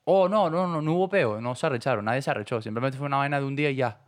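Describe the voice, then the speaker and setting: A man's voice: mid-range voice, very fast. Slangy Venezuelan man in casual chat. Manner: slangy, repeats short phrases. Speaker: podcast co-host.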